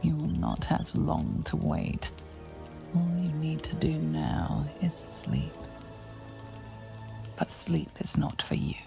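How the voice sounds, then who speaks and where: A woman playing a brooding storyteller, narrating a fantasy game deliberately and portentously in a gravelly voice over music. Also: huge pitch swings, full character voice. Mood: ominous, hushed. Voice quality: low, gravelly. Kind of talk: scripted second-person game narration.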